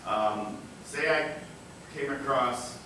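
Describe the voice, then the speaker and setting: A man's voice: mid-range voice, slow, noisy background. An academic man asking a hesitant question from the back of the room. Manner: hesitant, many fillers. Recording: distant and echoey from the back of the room.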